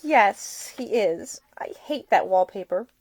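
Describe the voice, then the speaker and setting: A woman's voice: medium pitch, lively intonation, slow pace, no echo, pitched above the performer's own voice. A woman voicing an outspoken, slightly wounded young Victorian lady in a storybook reading. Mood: guarded. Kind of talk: acted audiobook dialogue.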